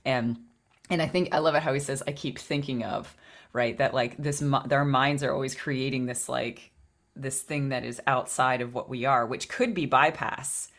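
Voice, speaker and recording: A woman with a low voice and vocal fry, talking through spiritual ideas in a reflective podcast chat with many restarts. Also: steady tone, normal speed.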